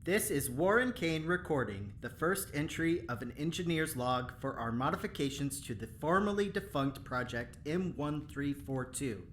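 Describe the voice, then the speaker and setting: An actor playing an eager, insecure, chatty engineer in an audio drama, self-conscious and halting in delivery. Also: medium pitch, expressive, fairly bright tone, slight background noise, close to an everyday voice. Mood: earnest, self-conscious.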